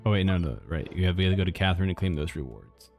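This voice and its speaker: A man's voice: deep voice, lively intonation, racing. A man giving casual live commentary as he plays a game, muttering to himself and repeating short phrases. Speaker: game streamer. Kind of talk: casual live reaction commentary over gameplay. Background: game music.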